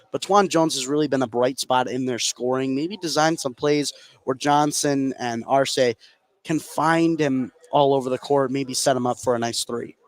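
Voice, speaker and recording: A man working as a color commentator on a live basketball broadcast, explaining the play in a conversational way. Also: medium pitch. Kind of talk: live sports analysis. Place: indoor basketball gymnasium.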